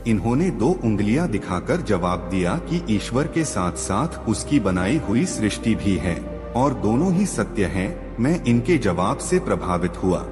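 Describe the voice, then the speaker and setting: A man's voice: on the low side, big pitch swings, unhurried. A man voicing a proud elderly male court scholar who speaks with formal reverence to an emperor. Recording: long hall reverb with music bed.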